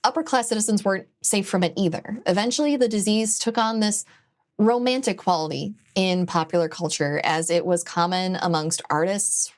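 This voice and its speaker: A woman giving opinionated commentary in a conversational video essay style, with animated phrasing. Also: mid-range voice.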